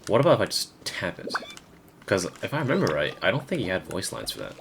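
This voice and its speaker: A man's voice: low voice, wildly swooping pitch, fast, gravelly. A man giving casual gameplay commentary as a video creator, thinking aloud with hesitant phrasing. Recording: noticeable background noise.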